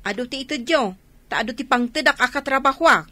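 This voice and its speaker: A woman's voice: sits high, expressive, a little fast, sharp tone, no echo. An actress playing an anxious, nagging middle-aged mother in a radio drama, fretful and complaining. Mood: harried. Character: anxious, nagging mother worn down by her children.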